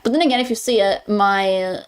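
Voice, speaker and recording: A woman's voice: medium pitch, moderate pace, clear, resonant tone. A young woman from Greece with a Greek accent, chatting casually with her stream chat and often stumbling over her words. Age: young adult.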